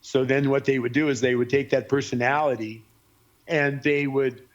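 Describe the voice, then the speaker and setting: A man's voice: mid-range voice, flat delivery. Guy telling his own story, stops and starts a lot, muffled kinda lo-fi sound. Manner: halting, many fillers and restarts. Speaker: interview guest. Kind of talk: personal storytelling.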